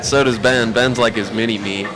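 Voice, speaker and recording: A man's voice: medium pitch, steady tone, moderate pace, a crowd in the background, poor audio. An American man from Ohio giving casual live sports commentary in a chatty, folksy, slangy way. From Ohio, USA. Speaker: pool match commentator.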